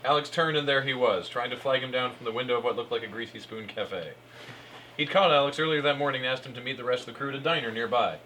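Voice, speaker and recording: A man's voice: medium pitch, quick pace, bright, forceful tone. A man doing a live comic read-aloud as narrator, stumbling over lines with amusement and cueing his fellow performers, in a noisy live room recording. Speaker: narrator reading fan fiction aloud.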